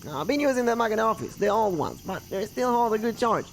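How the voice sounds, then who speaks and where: A man's voice: sits high, lively intonation, fast, slight background noise, pitched above the performer's own voice. A man voicing a folksy, easygoing local boater in a video game, rambling and colloquial. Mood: persuasive, rambling.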